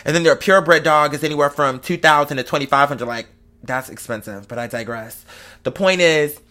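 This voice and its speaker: Male vlog host, high-pitched, forceful bright tone; emphatic, slang-heavy confessional chat that wanders off on tangents. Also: fairly quick. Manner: rambling, digressive. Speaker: online show host. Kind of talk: casual confessional chat to followers.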